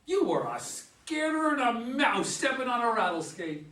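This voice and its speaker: A man's voice: very high-pitched, big pitch swings, normal speed, bright, forceful tone, full character voice. A man acting a feisty older rural American in a play reading, folksy and exclamatory, with an American accent. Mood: jovial, teasing. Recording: distant mic in echoey room.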